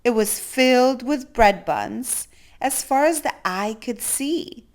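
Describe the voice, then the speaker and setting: A woman reading a children's picture book aloud as storyteller, theatrically, with drawn-out emphasis on key words. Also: on the high side, animated.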